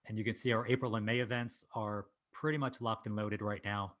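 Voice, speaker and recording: Relaxed man hosting an online meetup. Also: on the low side, fairly quick. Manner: relaxed, informal with fillers. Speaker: meetup host. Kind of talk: event announcements and speaker introduction.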